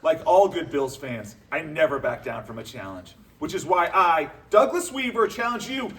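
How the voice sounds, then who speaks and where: A man's voice: on the high side, lively intonation, moderate pace, fairly bright tone, recorded from a distance. A man playing an earnest, game young football fan boyfriend in a live comedy table read. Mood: bold, challenging.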